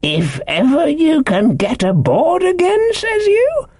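A half-crazed castaway sailor from a storybook. The voice is pitched very high and swings wildly, drawling slowly through wheedling, rambling seafaring dialect, by turns sly and childishly pious. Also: male voice, big pitch swings, a little mellow, husky, no room sound, clean studio sound, full character voice. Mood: sly, excited. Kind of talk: audiobook character voice.

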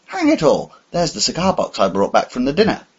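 A polite elderly gentleman, thrown off balance, stammers and hesitates, as a man reading aloud puts him on. His voice leaps upward and wobbles across a wide range, a little husky. The recording sounds dull and lo-fi. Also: wildly swooping pitch, brisk, heavily acted. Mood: flustered. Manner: hesitant, stammering, rising to high pitch. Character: flustered elderly English gentleman, polite and bewildered.

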